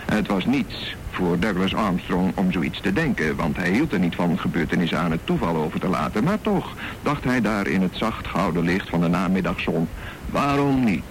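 A man narrating a radio drama in a measured, wry storytelling manner. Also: low voice, animated, a little hiss or hum, rough recording.

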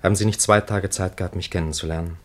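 Actor as deep-voiced, weary priest. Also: male voice, fairly even intonation, fast talker, natural voice. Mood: weary, firm. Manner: grave, restrained, questioning. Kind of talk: 1950s German radio play acting.

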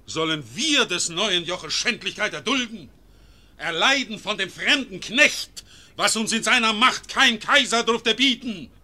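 A man's voice: high voice, lively intonation, measured pace, sharp tone, exaggerated voice. A man playing a rousing confederate leader. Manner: declamatory, rising to fervent oratory. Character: stern male confederate leader, rousing orator. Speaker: Swiss confederate leader addressing the assembly. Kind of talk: classic German radio play acting.

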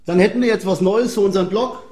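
An actor playing a needy, self-important blogger who whines for attention in a satirical radio play. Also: male voice, high-pitched, fast, warm tone, natural voice. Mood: indignant, boastful. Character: needy, self-pitying blogger addicted to online applause.

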